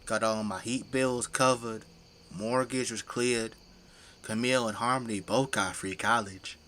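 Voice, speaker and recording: A man's voice: fairly low pitch, steady tone, a little slow, bright, forceful tone, a little hiss or hum. An actor playing a folksy, boastful, tipsy middle-aged father who fights zombies with a flamethrower in an audio drama. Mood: matter-of-fact. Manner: folksy, colloquial, easygoing bragging.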